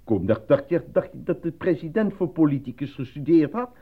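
A muffled, lo-fi radio play recording carries an eager and naive fellow with a husky voice. He stammers, gets flustered easily and leaps about in pitch with excitement. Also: a little fast. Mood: boastful, excited. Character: eager, naive, easily flustered male actor cast as minister. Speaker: actor.

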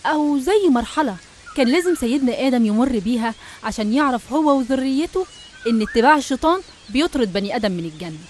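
A woman storyteller with an Egyptian accent reads a scripted tale for animation. She speaks quickly in a fairly high voice, over faint background noise. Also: expressive. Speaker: narrator.

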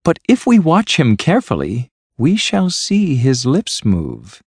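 Storybook lady guest, played by a male reader: sceptical, politely mocking, raised pitch, lively inflection. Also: unhurried, no echo, heavily acted. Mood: gleeful. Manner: polite, teasing. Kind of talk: storybook character voice.

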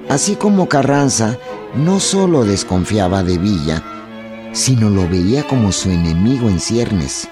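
A man giving formal, measured scripted narration for a historical radio drama. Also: sits low. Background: strings music.

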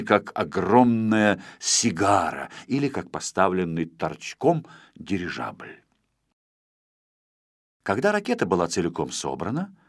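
Animated low-voiced man narrating a children's story. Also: dry recording, clean studio sound. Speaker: audiobook narrator.

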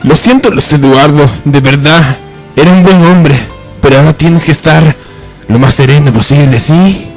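A man's voice: a little high, normal speed, soft background music, lightly acted. A man playing an earnest, soothing best friend in an amateur radio soap opera. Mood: consoling.

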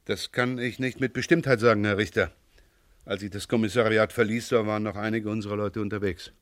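An actor playing a calm, courteous French police inspector with measured authority in a German radio crime play. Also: male voice, lowish voice, natural voice. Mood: respectful.

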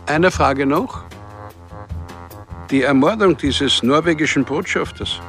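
A man's voice: fairly high pitch, slow, fairly bright tone, faint music behind. An actor playing a matter-of-fact man in a radio crime drama, speaking plainly and directly. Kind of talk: German radio play acting.

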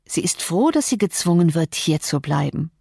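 A woman's voice: low voice, big pitch swings, moderate pace, vocal fry, a little mellow, breathy edge, no room sound, exaggerated voice. A cruel, scornful tyrant of a man, as a woman reader puts him on in an audiobook, talking with a sneer and open menace. The sound is close and dry, with no room around it. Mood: contemptuous. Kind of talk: audiobook character voice.

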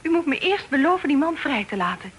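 A high-voiced, pleading young girl. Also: big pitch swings, quick pace, fairly bright tone. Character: pirate's sweet young daughter, earnest and pleading. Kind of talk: Dutch radio drama acting. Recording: noisy old radio recording.

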